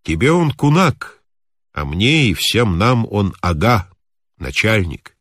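A man voicing a kindly, talkative old mountain healer in a story, with a calm, instructive, measured manner. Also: lowish voice, dry recording, studio quality, heavily acted. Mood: calm, reassuring.

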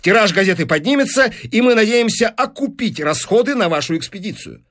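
A man's voice: high voice, lively intonation, a little fast, a bit bright, pitched above the performer's own voice. A man voicing a pushy, calculating newspaper businessman in an audiobook, with eager salesman's patter. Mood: glib, insistent. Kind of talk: audiobook character dialogue.

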